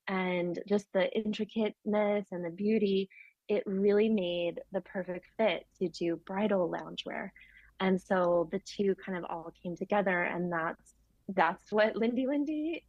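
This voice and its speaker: A woman's voice: medium pitch, dry recording, highs cut off. An American woman, a business founder, talking in a friendly, rambling way as a podcast guest over a remote call. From United States. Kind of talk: casual interview talk.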